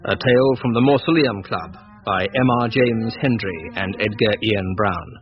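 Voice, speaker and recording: A man's voice: fairly low pitch, moderate pace, natural voice. A man as a solemn announcer introducing a gothic radio drama and its cast. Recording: muffled old radio recording, music behind.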